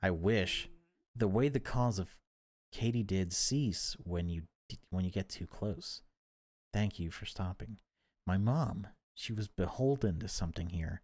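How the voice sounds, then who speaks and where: A man reading horror game text aloud as dramatic narration, in deliberate, broken phrases. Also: low-pitched, a little mellow, no echo. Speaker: gaming video creator reading a visual novel aloud.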